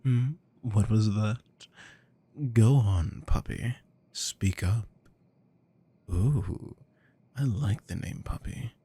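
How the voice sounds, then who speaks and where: Man acting as possessive male boss, dark mellow tone, unhurried delivery, commanding, teasing, gravelly, intimate ASMR roleplay. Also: heavily acted. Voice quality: deep, gravelly. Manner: commanding, coaxing, low murmurs slipping into whispers.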